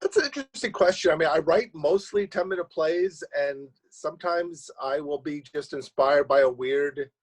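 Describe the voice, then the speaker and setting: A man answering interview questions casually as a guest writer, in a hesitant, halting way. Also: a little high. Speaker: playwright guest.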